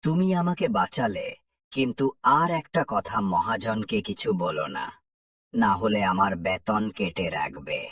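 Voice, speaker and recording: A man's voice: lowish voice, expressive, a little slow, fairly bright tone, breathy edge, no echo, clean studio sound, heavily acted. A man playing a troubled village watchman who pleads with his friend in a cartoon folk tale. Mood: troubled, pleading.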